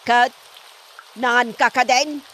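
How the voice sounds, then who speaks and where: A woman's voice: fairly high pitch, steady tone, slow pace, pushed and bright, a little hiss or hum. A woman playing a suspicious, scolding wife in a comedy radio drama, firing questions at her husband. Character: nagging, suspicious wife of a forgetful old man.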